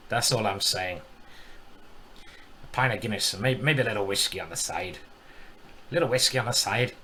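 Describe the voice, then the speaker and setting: A man's voice: fairly low pitch, sharp tone, slight background noise, voice pushed down. A man putting on a hammy mock-Irish pub regular. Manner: hammy, teasing, exaggerated. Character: man doing a put-on Irish pub patron ordering drinks.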